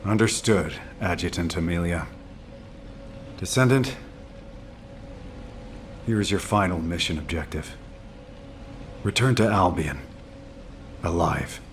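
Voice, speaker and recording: An actor playing a solemn, dutiful military officer in a game, terse and stern. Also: male voice, low voice, takes it slowly, pauses often, a little hiss or hum. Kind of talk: scripted game dialogue.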